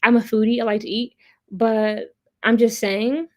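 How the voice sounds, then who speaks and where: A woman's voice: fairly high pitch, level tone, measured pace, darkish tone. A woman hosting a casual livestream of commentary, chatting with viewers in a blunt, opinionated, profane way and repeating points for emphasis. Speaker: host of a pop culture commentary livestream. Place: live online stream.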